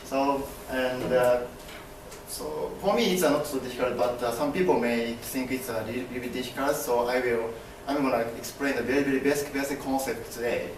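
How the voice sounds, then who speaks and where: A man's voice: medium pitch, steady tone, distant mic, slight background noise. Young Japanese-accented man, echoey classroom. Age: young adult. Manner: halting, many fillers and restarts, gestures broadly. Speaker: MBA student presenter. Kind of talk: class presentation. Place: classroom with projector screen. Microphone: far camera.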